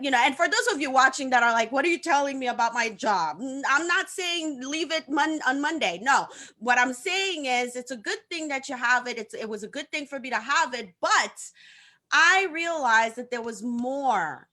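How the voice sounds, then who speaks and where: A woman's voice: sits high, pushed and bright. A woman in her thirties from Haiti, a guest on a video-call talk show, telling her story in an upbeat, chatty way and laughing often. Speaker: guest, social media coach. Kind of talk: casual talk-show interview.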